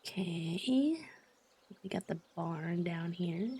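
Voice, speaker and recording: A woman streaming a game, chatting casually and thoughtfully with many fillers, her voice slightly croaky from just waking up. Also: lowish voice, slow pace, mellow, slight background noise. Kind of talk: casual livestream chat while playing.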